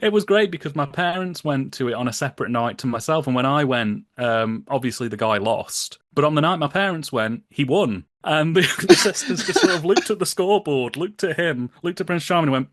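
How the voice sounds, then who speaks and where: A man in casual conversational commentary, telling anecdotes in an amused, animated way. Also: fairly high pitch, fairly quick, few pauses, dry recording, studio quality. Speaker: podcast guest.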